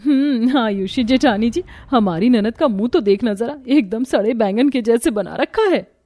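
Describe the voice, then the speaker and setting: A woman voicing a sharp-tongued, scheming middle daughter-in-law in a cartoon, with a sly, teasing manner. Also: a little high, normal speed, dry recording, close to an everyday voice.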